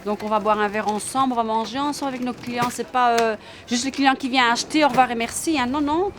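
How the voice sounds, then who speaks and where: A woman shop owner, a native Italian speaker, talks steadily and repeats her phrases. Her voice sits a little high. Clear shop noise runs behind her. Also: fairly even intonation, fast, fairly bright tone. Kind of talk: documentary interview.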